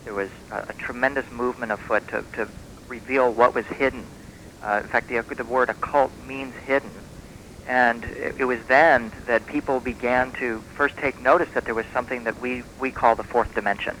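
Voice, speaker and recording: Bright-voiced man on noisy shortwave radio. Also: mid-range voice, fairly even intonation, normal speed. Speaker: guest author on a radio talk show. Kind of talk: conversational radio interview, explanatory storytelling. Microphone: radio broadcast.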